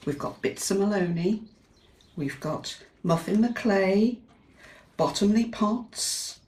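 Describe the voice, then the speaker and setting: In an echoey room, a British woman reads a children's story at an unhurried pace. Her pitch swings widely as she performs the lines with expression. She chats to her young listeners and asks them questions like a teacher at storytime. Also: a little mellow. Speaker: teacher reading a picture book to young children. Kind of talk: animated children's storytime read-aloud with chatty asides.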